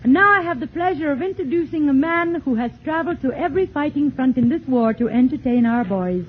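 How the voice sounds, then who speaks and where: A woman announcer with a high voice reads a polished, formal introduction. The audio is thin and boxy like a 1940s radio broadcast, with light hiss behind her. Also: lively intonation, brisk, phone-quality sound.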